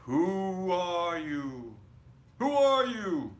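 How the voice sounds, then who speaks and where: A man's voice: high voice, very animated, drawn-out words, pitched above the performer's own voice, full character voice. A man voicing a grumpy old male miser in a storybook, exclamatory and easily startled. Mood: alarmed.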